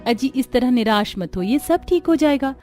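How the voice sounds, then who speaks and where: A woman's voice: high-pitched, expressive, moderate pace, a little mellow, pitched above the performer's own voice. A woman playing a tender, worried young mother and wife, speaking earnestly in a cartoon family drama. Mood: gentle concern.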